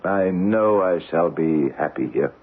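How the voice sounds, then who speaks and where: Deep, husky-voiced deluded medieval knight. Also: male voice, a little slow. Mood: serene wonder. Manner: formal archaic speech, gallant, solemn. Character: courtly deluded man believing he is a medieval Scottish knight. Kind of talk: 1970s radio drama acting. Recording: tinny band-limited old radio broadcast.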